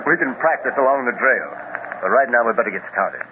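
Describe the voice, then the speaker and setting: An actor playing a steady, encouraging leader of a jungle expedition in an old radio adventure, decisive and reassuring. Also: male voice, medium pitch, fairly quick, natural voice. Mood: amused, brisk. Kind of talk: 1930s-40s radio adventure acting. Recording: noisy, muffled old radio recording.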